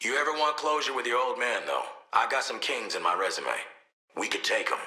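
A wry streetwise hitman for a video game, tough dad type, really forceful tense voice, bit echoey. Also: mid-range voice, sing-song, a little fast. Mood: low-key. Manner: sardonic, streetwise. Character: tough, wry male assassin, a protective father.

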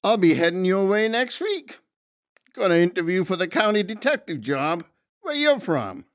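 An actor playing a young, wry highway cop in an audiobook, gravelly and colloquial. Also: male voice, very animated, normal speed, no room sound, clean studio sound. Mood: brash. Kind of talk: dramatized audiobook dialogue.

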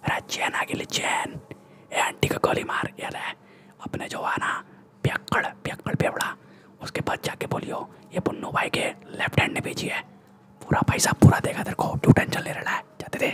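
A young man playing a swaggering, bullying Mumbai gangster in a breathy ASMR whisper, with slangy, boastful Mumbai Hindi speech, close to the microphone. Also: normal speed, fairly bright tone, natural voice. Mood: scheming. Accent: Mumbai Hindi street slang. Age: young adult. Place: small room indoors. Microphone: close desktop condenser microphone.

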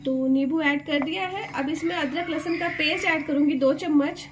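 High-voiced woman hosting a home cooking video. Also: moderate pace. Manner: chatty, explains each step to viewers. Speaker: cooking channel host. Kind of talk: step-by-step cooking demonstration. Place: home kitchen. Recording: noisy home recording.

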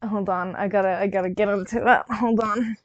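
A woman's voice: mid-range voice, fairly even intonation, brisk, no room sound, lo-fi sound. A woman playing a tabletop game, giggly and often laughing in casual banter.

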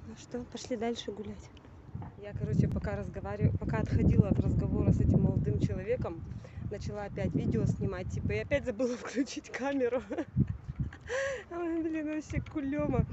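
A woman in her thirties filming a casual travel vlog at arm's length, chatty and quick to laugh and exclaim. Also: mid-range voice, steady tone. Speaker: vlogger. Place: outdoors in a city and indoors in a museum. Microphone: handheld camera at arm's length. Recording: dull lo-fi camera audio with street noise.

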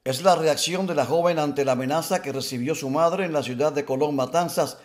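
A male reporter reading a formal, measured news voice-over. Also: on the high side.

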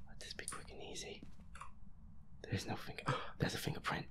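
A man muttering to himself in a breathy, whispery voice as he plays a game, repeating himself and swearing. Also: moderate pace. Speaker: gamer playing a horror game. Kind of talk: hushed solo gameplay chatter, thinking aloud.

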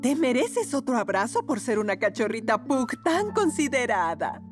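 A woman voicing a warm, playful pug mother in a children's cartoon, affectionate and singsong. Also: a little high, big pitch swings, normal speed. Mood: caring. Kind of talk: animated children's cartoon dub. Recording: music behind the voice.

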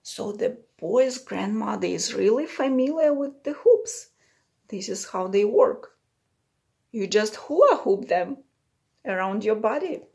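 A woman's voice: mid-range voice, expressive, a little slow, gravelly, a little mellow. A middle-aged woman reading a picture book aloud to young children, explaining it simply and putting questions to the listeners. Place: small plain room indoors.

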